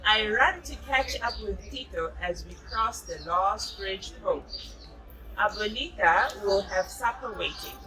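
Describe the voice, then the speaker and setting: Bright South African woman reading to children, unhurried. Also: medium pitch, animated, distant mic, low-quality recording. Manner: encouraging, repeats and confirms the children's answers. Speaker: storyteller reading to young children. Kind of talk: read-aloud of a picture book with explanations to children.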